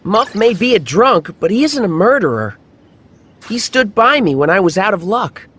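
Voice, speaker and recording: A woman voicing a wary, downcast young boy in a children's animated story. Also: medium pitch, normal speed, slight background noise. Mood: sad, earnest. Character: young boy, wary, sad, loyal friend.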